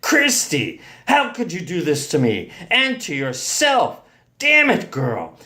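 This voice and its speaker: A man voicing an angry, domineering older uncle who scolds and commands forcefully in a dramatised story. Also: fairly high pitch, huge pitch swings, takes it slowly, voice pushed up, heavily acted. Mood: angry, disgusted.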